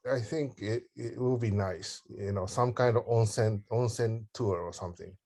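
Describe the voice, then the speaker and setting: Halting middle-aged man on a group call. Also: on the low side, dark tone. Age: 50s. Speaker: organiser leading a group planning call. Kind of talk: casual group call discussion.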